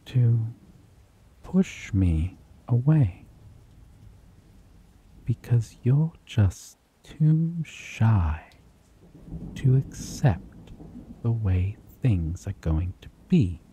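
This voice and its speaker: A man playing a slow, dark-voiced possessive vampire. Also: fairly low pitch, animated, slight background noise. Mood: coaxing. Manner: slow, soft-spoken, menacing, drifts into whispers. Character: possessive obsessive male vampire, menacing captor. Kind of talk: ASMR roleplay.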